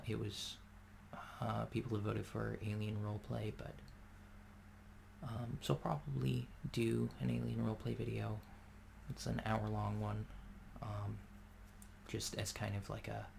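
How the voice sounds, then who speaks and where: A man rambling in a soft-spoken ASMR style, filling his talk with pauses and fillers. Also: lowish voice, vocal fry, noisy background. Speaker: ASMR creator.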